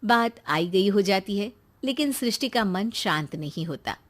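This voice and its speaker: A woman's voice: mid-range voice, animated, a little slow. A woman narrating a scripted moral story as its storyteller.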